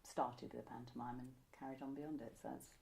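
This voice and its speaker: A woman's voice: rumbling low, steady tone, a little fast, vocal fry, darkish tone. A woman, reminiscing conversationally as an interviewee. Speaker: interviewee, pantomime costume maker. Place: indoors, room by a window.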